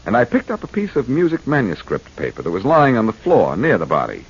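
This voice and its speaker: An actor playing a confident, wisecracking amateur detective in a 1940s radio drama, speaking in a glib, theatrical way. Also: male voice, mid-range voice, huge pitch swings, a little fast, breathy edge, full character voice. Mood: dramatic. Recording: muffled, noisy 1940s radio recording.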